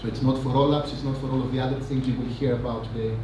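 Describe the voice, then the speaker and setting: A man giving a rambling, energetic conference talk on stage, full of fillers and asides. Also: medium pitch, very fast. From Greece. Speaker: conference speaker. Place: large conference hall. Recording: echoey hall, mic from a distance, noisy.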